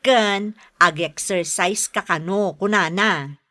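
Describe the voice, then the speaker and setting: A woman's voice: medium pitch, takes it slowly, sharp tone. A woman with an Ilocano accent acting a frank, chiding but caring younger sister in a radio drama. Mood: friendly.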